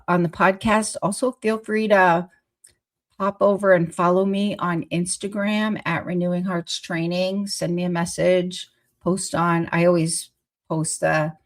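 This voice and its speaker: A woman's voice: on the low side, vocal fry. A woman in her forties hosting a podcast on play therapy, stuffy from a cold, chatty and laughing often. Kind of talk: casual solo podcast talk.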